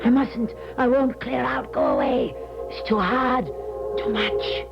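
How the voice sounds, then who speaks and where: A woman's voice: a little high, wildly swooping pitch, slow pace, vocal fry, music in the background, heavily acted. An actress playing an intense clairvoyant who tells her tale formally and theatrically in a 1970s radio drama. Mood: anguished trance. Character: Madam Sonia, intense female clairvoyant telling her own tale. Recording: dull 1970s radio broadcast recording.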